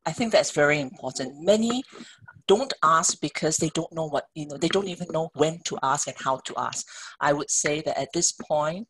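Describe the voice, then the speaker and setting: A woman's voice: deep voice, normal speed, a bit bright, breathy edge, poor audio. A woman giving a webinar talk over a video call, earnest, repeating words as she searches for them. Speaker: webinar guest speaker.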